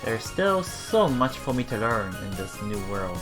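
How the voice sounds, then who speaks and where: Casual game streamer reading and reacting aloud, mid-range voice, halting delivery, faint music behind. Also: medium pitch, very animated. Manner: halting, stumbles and repeats words. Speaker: let's play host.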